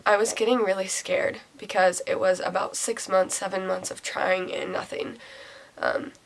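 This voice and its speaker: A young woman talks straight to her audience in a personal vlog, her voice nasal and raspy from a cold. She speaks at an ordinary pitch, pausing often and sounding close to tears. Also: fairly even intonation, a little hiss or hum, low-quality recording. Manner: emotional, close to tears, halting with many pauses. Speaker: vlogger.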